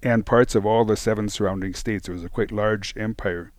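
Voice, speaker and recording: A man explaining history in an informative, steady way as a guest on a radio interview. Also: on the low side, a little fast, no echo.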